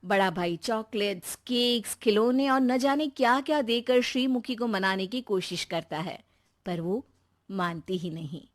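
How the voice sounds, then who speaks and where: Woman storyteller, middle-pitched, for scripted moral tale narration. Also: a little slow.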